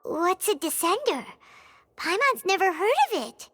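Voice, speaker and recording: A woman voicing an excitable, curious tiny floating female companion in a game cutscene, talking in a bouncy way. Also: a little slow, heavily acted. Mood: curious, alarmed. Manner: high-pitched, bouncy, talks about herself in third person. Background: game music.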